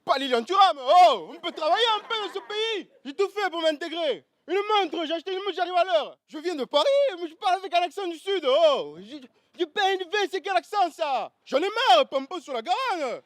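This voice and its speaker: Brief: man playing a shrill, indignant graduate, high nasal whine, dry close sound. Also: expressive, a little fast, few pauses, dry recording. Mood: aggrieved. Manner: indignant complaining with a put-on southern lilt. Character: pompous graduate complaining about job interviews.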